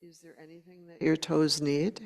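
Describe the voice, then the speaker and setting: A woman meditation teacher leading a guided meditation in a gentle, unhurried way, leaving long pauses between short phrases. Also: sits low, little pitch movement, gravelly. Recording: long hall reverb.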